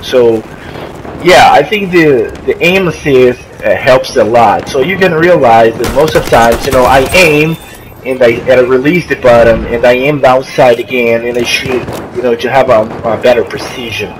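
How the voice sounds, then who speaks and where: A young Brazilian man with a Portuguese accent talks through his match in English, often pausing and restarting to find his words. His pitch sits at an ordinary middle height. A clear layer of noise runs under the whole makeshift recording. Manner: halting, searching for words, many restarts. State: coughing from allergy. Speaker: gamer. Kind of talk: casual gameplay commentary. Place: friend's house while traveling. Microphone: makeshift mic, not his usual. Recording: noisy, poor-quality home recording.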